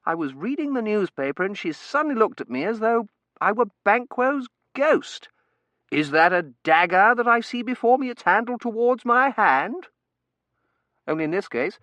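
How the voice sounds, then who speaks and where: A man plays a whimsical young gentleman in a storybook reading. The delivery is bemused and declamatory, with a raised pitch and very animated melody. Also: moderate pace, dry recording, heavily acted. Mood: theatrical.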